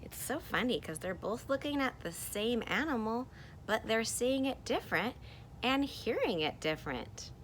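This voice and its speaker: A woman teacher reading a picture book aloud to children, animated and encouraging, with playful sound effects. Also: on the high side, moderate pace, slight background noise, rough recording.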